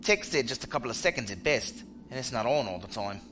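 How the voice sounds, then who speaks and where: A man's voice: medium pitch, expressive, fast talker, pushed and bright, a little hiss or hum. A man acting a blunt, loyal spacer in a science fiction audio drama, talking in colloquial, folksy slang. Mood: briefing eagerly. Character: rough-spoken ex-security chief, blunt, loyal male spacer.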